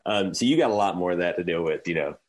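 A man's voice: low-pitched, animated, quick pace. A man in his forties, a filmmaker being interviewed, answering candidly in a rambling way with many restarts. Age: 40s. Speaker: filmmaker guest.

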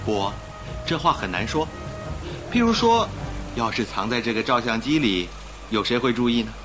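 An actor playing a suave, confident young gentleman with an emphatic, persuasive delivery in a Chinese radio drama. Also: mid-range voice, animated, normal speed, close to an everyday voice. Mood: assured, scornful. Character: suave confident young gentleman passenger, admiring a famous thief. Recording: radio drama with faint music behind.

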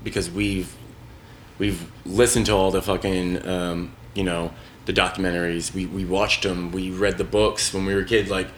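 A man's voice: deep voice, level tone, moderate pace, slight background noise. A man hosting a podcast in loose, rambling casual chat, swearing freely. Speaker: podcast host.

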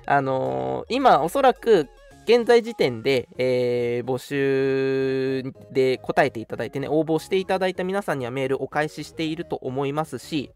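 A man's voice: medium pitch, moderate pace, darkish tone, faint music behind. A man hosting a casual radio talk show, making announcements in polite phrasing mixed with friendly banter. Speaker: radio show host and scriptwriter.

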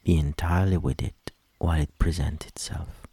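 A man leading a guided meditation in a soft, soothing voice with gentle instructions that trail into whispers. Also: rumbling low, fairly even intonation, breathy edge, no echo. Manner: slow, gentle instructions with long pauses.

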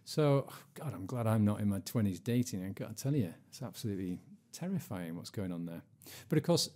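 A man's voice: on the low side, expressive, fairly quick, a little mellow. A man, chatting casually as a podcast host in a jokey, self-deprecating way. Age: 40s.

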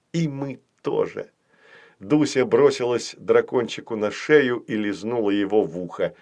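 A man's voice: mid-range voice, a little mellow. A man reading scripted narration as the storyteller of a children's fairy tale.

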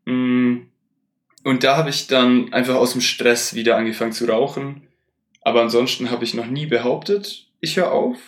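A man's voice: medium pitch, moderate pace. A young man in casual chat as a podcast co-host, eager, candid and joking. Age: young adult. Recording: echoey room.

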